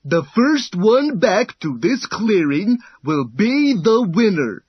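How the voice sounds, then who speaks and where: A man voicing a slow, calm, determined tortoise in a children's storybook, with deliberate, drawn-out, unhurried speech. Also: sits high, breathy edge, dry recording, muffled recording, heavily acted. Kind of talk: children's storybook character acting.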